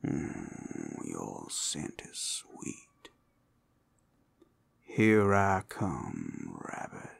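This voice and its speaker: A very low, gravelly werewolf acted out by a man for an ASMR roleplay, teasing and possessive. He stretches his words slowly and swings widely in pitch, with a breathy growl in his murmur. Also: wildly swooping pitch, heavily acted. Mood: teasing, excited.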